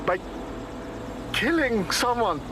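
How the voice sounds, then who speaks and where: An actor playing a desperate young man pleading with his mother, slow and halting. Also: very high-pitched, very animated, heavily acted. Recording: loud vehicle and water noise.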